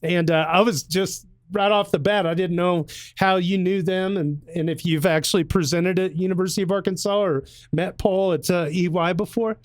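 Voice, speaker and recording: A man's voice: sits high, steady tone, normal speed, hardly any pauses, no room sound. A man hosting an interview stream, chatty and upbeat, laughing easily with his guest. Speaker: host. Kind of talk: casual interview chat.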